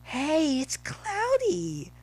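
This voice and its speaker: A man's voice: very high-pitched, big pitch swings, a little mellow, voice pushed up, full character voice. Man putting on a squealing, slurring drunk young mare. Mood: giddy, drunk. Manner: slurred, drawn-out words.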